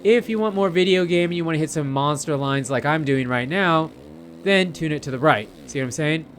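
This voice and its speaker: A man with a somewhat high voice talks viewers through gameplay in a loose, chatty way. His commentary rambles informally, as if talking straight to friends watching. A faint layer of noise sits behind him. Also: a little fast, a bit bright. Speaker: gamer narrating gameplay.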